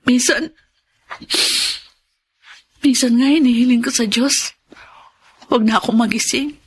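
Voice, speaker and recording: An actress playing a sorrowful young married daughter who mourns her child, speaking haltingly and tenderly in a Filipino radio drama. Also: a little high, slightly rough. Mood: grieving.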